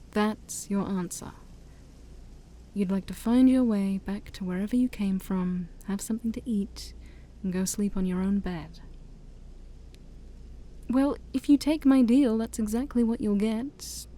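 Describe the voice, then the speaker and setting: A woman playing an ancient demon princess, haughty yet shy, in a soft-spoken ASMR roleplay that often drops to a whisper. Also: mid-range voice, close to an everyday voice. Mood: puzzled, annoyed. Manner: formal, teasing, hesitant, sighing.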